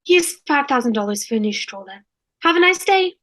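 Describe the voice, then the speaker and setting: A woman's voice: high-pitched, animated, brisk, fairly bright tone. A woman reading a polite, breezily tactless rich woman in a sitcom table read. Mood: gracious.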